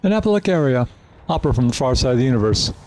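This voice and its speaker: A brisk, chatty male crew member played by a man in an audio drama. He is polite and fussy, with lively intonation and faint noisy ambience around him. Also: mid-range voice, lightly acted. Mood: genial.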